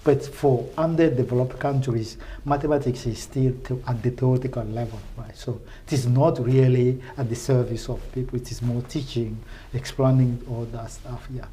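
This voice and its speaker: A middle-aged man from Benin, a native French speaker with a Francophone West African accent, talking enthusiastically as an interview guest in halting, repetitive phrases. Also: medium pitch, fairly even intonation, mellow, slight background noise, low-quality recording. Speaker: research professor, podcast guest. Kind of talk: casual spoken interview.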